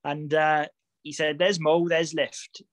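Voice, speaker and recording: A Welsh man in his thirties tells his story in easy, chatty talk, with frequent laughs and plenty of ums. His voice sits a little high for a man. The sound is close and dry, as over a video call. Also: flat delivery, takes it slowly, dry recording. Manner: chatty, laughs often, many fillers. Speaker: podcast guest, greenkeeper. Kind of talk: casual storytelling chat.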